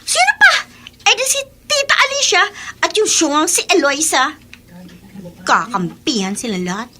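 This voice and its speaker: Spoiled angry girl in a radio drama, super high voice, swings all over, snappy n bitter. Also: very animated, normal speed, very noisy. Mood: furious, exasperated. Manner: snappy, bratty, exasperated. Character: spoiled, hot-tempered young woman, bitter and spiteful. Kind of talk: Tagalog radio drama acting.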